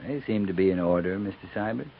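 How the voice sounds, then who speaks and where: A man's voice: low voice, gravelly. An American man acting a laconic, dryly teasing, weary cavalry captain in a 1950s radio drama, speaking tersely and without hurry. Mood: dry, tired. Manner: terse, dry, unhurried. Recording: muffled, noisy 1950s radio recording.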